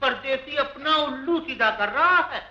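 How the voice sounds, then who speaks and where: An actor playing an excitable, boastful, jealous and lovesick village man in theatrical old Hindi film comedy style. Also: very high voice, unhurried, full character voice. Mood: boastful, mocking. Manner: theatrical, wheedling and blustering. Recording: dull 1950s film soundtrack, roomy echo.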